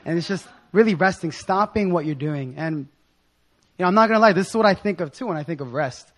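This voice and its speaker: A young man with a hoarse voice giving an informal sermon in a casual, slangy, excited manner. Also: high-pitched, quick pace. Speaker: preacher.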